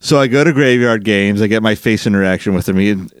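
A man's voice: on the low side, fast talker, no room sound. Rambling, slightly nasal man storytelling. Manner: rambling, animated storyteller with self-interrupting restarts. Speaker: podcast co-host. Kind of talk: casual storytelling chat.

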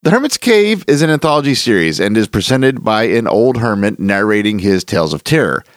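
A man's voice: lowish voice, sing-song, a little fast, dry recording, clean studio sound. A man presenting as a host, reading a scripted introduction in a narration style. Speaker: podcast host. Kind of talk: scripted narration introducing old radio horror. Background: music underneath.

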